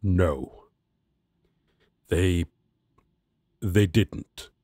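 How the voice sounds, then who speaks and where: A man's voice: sits low, drawn-out words, dark tone, breathy edge, dry recording, full character voice. A man voicing a righteous, commanding angel warrior in a fantasy audio roleplay, with archaic, preachy and self-important speech. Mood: flustered.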